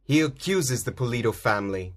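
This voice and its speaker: A man's voice: medium pitch, animated, a little fast, close to an everyday voice. Man voicing a righteous masked hero, firm, declaiming, storybook. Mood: stern. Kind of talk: graded reader audiobook.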